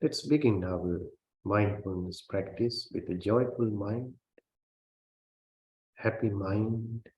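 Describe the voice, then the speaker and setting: A man who is a Buddhist meditation teacher, guiding a meditation in a gentle, measured way with long pauses between phrases. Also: lowish voice, dull audio. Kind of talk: guided meditation. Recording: roomy, reverberant sound.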